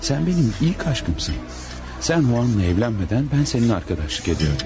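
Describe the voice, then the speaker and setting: A two-faced, anxious colonel with an animated voice at a quick pace. He plays the nervous plotter in radio theater style, with music clearly audible behind. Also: medium pitch. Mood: distracted, worried. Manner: nervous, stammering when caught off guard.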